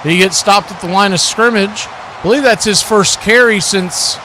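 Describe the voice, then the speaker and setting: A man's voice: high voice, moderate pace. A man giving live play-by-play football commentary as the announcer, in short clipped phrases. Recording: clear steady background noise.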